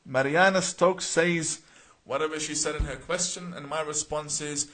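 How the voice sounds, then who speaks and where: A man's voice: on the high side, fairly even intonation, moderate pace, fairly bright tone. Emphatic man reading out live comments. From Iraq. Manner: reads viewer names then answers, with frequent uh and repeated words. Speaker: imam answering viewer questions.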